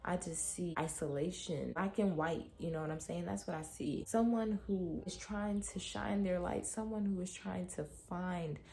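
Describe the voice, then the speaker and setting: Young female vlogger, low deep voice with a slight rasp, candid and emotional, talks straight to her viewers from her room. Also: normal speed, few pauses, dark tone. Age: young adult. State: raspy throat from a cold night. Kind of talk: personal reflective vlog.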